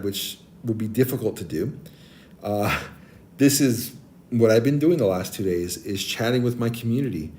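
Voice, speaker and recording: A man in his forties with a naturally deep voice, chatting casually with stream viewers and giving friendly advice, with many ums and restarts. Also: warm tone, slight background noise. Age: 40s. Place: abroad, streaming on a tablet. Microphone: tablet mic.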